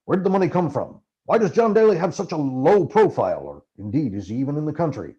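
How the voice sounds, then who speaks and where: A man acts out a gruff, cynical male journalist from a storybook. His delivery is brusque and haranguing, with very animated intonation and a slightly raised pitch. The recording is somewhat dull and muffled. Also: fast. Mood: probing, skeptical. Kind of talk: acted audiobook character dialogue.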